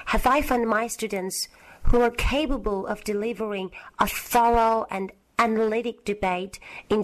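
A woman's voice: medium pitch, low-quality recording. A woman from China, a university English teacher, leaving a formal, earnest recorded message for a podcast. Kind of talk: recorded listener message, read aloud.

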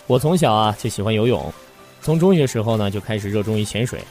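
An actor playing a lively young man who loves diving and also narrates in a Chinese audio drama. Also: on the low side, quick pace, soft background music. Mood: cheerful, eager.

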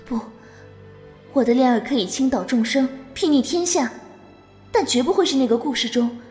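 Gentle senior swordswoman for a wuxia audio drama, female actor: lightly high, patient, doting, composed, over soft music. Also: animated, moderate pace. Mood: wistful, resolute. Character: gentle, patient, doting young swordswoman, older fellow disciple.

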